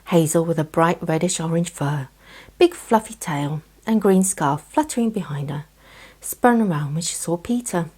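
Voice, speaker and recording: Casting: female bedtime storyteller, low-pitched, soft and breathy, gentle read for children. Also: expressive, moderate pace.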